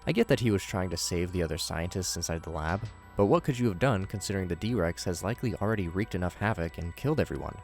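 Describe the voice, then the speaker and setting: A man narrating a scripted recap with commentary, adding wry, joking asides. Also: low-pitched.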